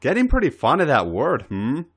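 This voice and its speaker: A man's voice: on the high side, huge pitch swings, normal speed, fairly bright tone, slightly rough, pitched above the performer's own voice, full character voice. A man voicing a self-assured, seductive male wrestler with a teasing drawl in an audio story. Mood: amused, caring.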